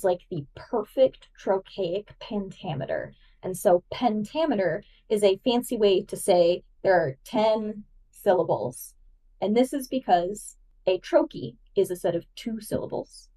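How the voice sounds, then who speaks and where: A woman's voice: mid-range voice, darkish tone, distant mic, rough recording. A woman giving enthusiastic, emphatic literary analysis as a podcast guest, casual and nerdy in her delivery.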